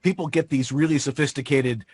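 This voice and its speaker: A man's voice: medium pitch, steady tone, brisk, a little mellow, husky. A man giving conversational technical advice as a studio expert, in a blunt, emphatic, plain-spoken way.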